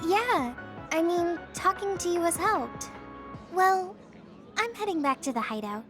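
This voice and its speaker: A woman's voice: extremely high pitch, expressive, measured pace, a bit bright, soft background music, full character voice. An actress playing a cheerful but self-doubting, earnest teenage girl soldier in a video game. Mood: earnest, bashful. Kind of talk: English video game voice acting.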